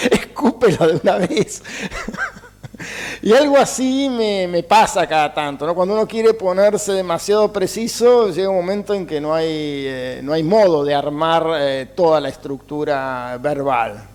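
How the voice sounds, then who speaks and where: A man with an Argentine Spanish accent speaks in a fairly high voice. He answers slowly and reflectively, pausing often on fillers and chuckling lightly. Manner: hesitant, many fillers, soft chuckles. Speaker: interview guest, writer and mathematics teacher.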